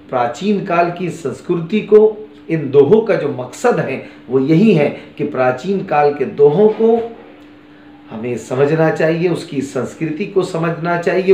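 Middle-aged guy teaching a poem, slow and earnest, roomy studio with a bit of hiss. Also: a little high, a little mellow. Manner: earnest and preachy, repeating key phrases. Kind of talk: classroom lesson explaining a poem. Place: studio with green screen background. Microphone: lapel mic. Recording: roomy studio with faint noise.

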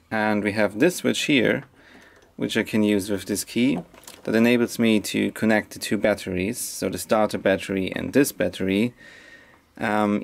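Relaxed young man with a low voice. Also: creaky. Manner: relaxed and self-deprecating, with many fillers and restarts. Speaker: vlogger. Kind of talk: casual DIY vlog narration. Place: inside a half-built van, outdoors beside a building. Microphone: camera mic at arm's length.

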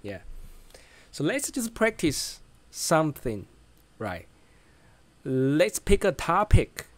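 A man's voice: fairly high pitch, sing-song, no echo. A man in his thirties with a Chinese accent speaks casual English into a close studio mic in a small room, stopping often to restart his sentences. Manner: halting, many restarts and fillers. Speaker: vlogger. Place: small bright room indoors. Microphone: studio mic close in front.

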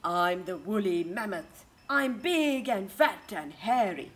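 A woman voicing a big, boastful, scary woolly mammoth from a storybook in a slow, dramatic way. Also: mid-range voice, animated, fairly bright tone, pitched below the performer's own voice. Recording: outdoor ambient garden noise.

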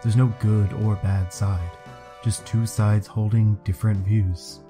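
Man playing stoic young game mercenary, lowish voice, serious, guarded, music behind. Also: little pitch movement, measured pace, close to an everyday voice. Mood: brooding. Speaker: fan dub voice actor. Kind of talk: video game fan dub.

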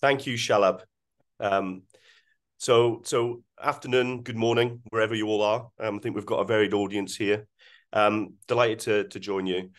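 A man's voice: on the low side, fairly even intonation, moderate pace. A Scottish man in his forties presenting on a video call, speaking with many ums and restarts. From Scotland. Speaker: webinar guest speaker. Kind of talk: presenting slides in a webinar.

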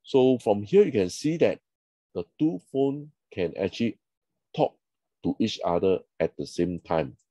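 A man's voice: lowish voice, big pitch swings, a little slow, mellow, no room sound. A man narrating a slide lesson as an instructor, explaining step by step in short phrases with non-native English phrasing.

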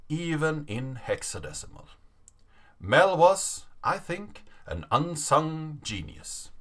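A man in his thirties giving a dramatic reading of a story, measured and with deliberate pauses. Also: fairly high pitch, bright, forceful tone. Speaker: presenter reading a story aloud. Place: small indoor room, seated at a desk.

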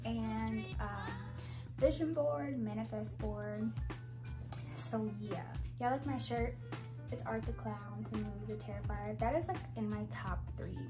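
A young woman with a soft, slightly high voice talks casually to camera from her bedroom, wandering into chatty asides with little giggles. She speaks into a clip-on mic. Faint music plays underneath. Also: measured pace. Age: young adult. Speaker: vlogger. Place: bedroom, indoors. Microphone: clip-on mic after the first part.

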